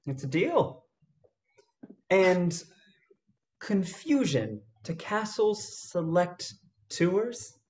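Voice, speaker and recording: An actress playing a genial, scheming adventurer in a radio play, with a jaunty, coaxing manner. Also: female voice, on the low side, big pitch swings, drawn-out words, long pauses, darkish tone, a little hiss or hum, heavily acted.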